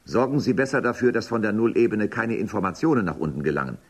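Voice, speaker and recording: An actor as a deep, husky, stern official. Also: male voice, fast talker, natural voice. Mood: stern, impatient. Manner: commanding, declamatory. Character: stern, imperious male official of an underground dystopia. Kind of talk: German radio drama acting.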